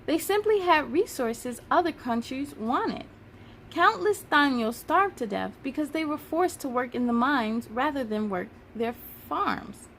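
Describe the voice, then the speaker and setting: A woman's voice: high-pitched, lively intonation, measured pace, a bit bright, slight background noise, full character voice. A woman voicing a patient, upbeat schoolteacher who instructs and encourages her class in a children's storybook. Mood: explaining. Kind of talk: children's storybook read-aloud.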